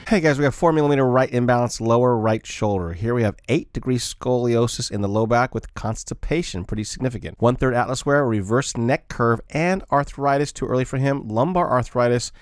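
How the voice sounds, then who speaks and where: A man's voice: mid-range voice, a little fast, few pauses, dry recording, clean studio sound. A man narrating an explanatory chiropractic video in a plain, informal voice-over style.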